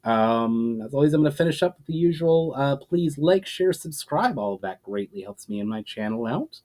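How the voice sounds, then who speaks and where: A man's voice: medium pitch, animated, normal speed, darkish tone. A man with a mid-range voice hosts a storytime as the author. He presents his own fantasy fiction to listeners. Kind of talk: dramatic scripted narration, casual sign-off.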